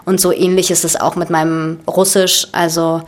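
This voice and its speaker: A woman's voice: lowish voice, little pitch movement, normal speed. A woman in her twenties from Germany, a guest chatting casually with many fillers and restarts. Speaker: actress and guest. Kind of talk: casual chat.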